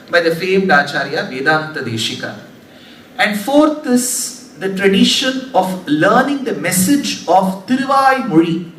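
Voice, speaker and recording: High-voiced man in an echoey hall. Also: pushed and bright. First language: Tamil. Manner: slow, measured, formal with scriptural terms. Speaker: religious discourse speaker. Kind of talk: spiritual discourse in a hall. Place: large hall before an audience. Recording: echoey hall, distant mic, faint hiss.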